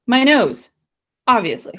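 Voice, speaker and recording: A woman's voice: on the high side, big pitch swings, unhurried, bright, forceful tone, dull audio. A woman co-hosting a casual podcast, chatty and rambling with frequent laughs. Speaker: podcast co-host. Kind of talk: casual banter.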